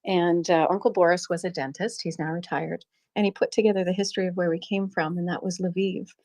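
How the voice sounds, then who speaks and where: A woman's voice: lowish voice, steady tone, a little fast, a little mellow. A middle-aged Canadian woman who runs a small shop, telling a personal story in an earnest, reflective way with frequent laughs. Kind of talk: casual chat on a live audio space.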